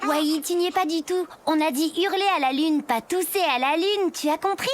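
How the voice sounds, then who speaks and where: A woman's voice: extremely high pitch, moderate pace, over loud music. A woman voicing an earnest, teasing little wolf cub with a chirpy way of explaining in a children's cartoon. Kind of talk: animated children's cartoon dialogue.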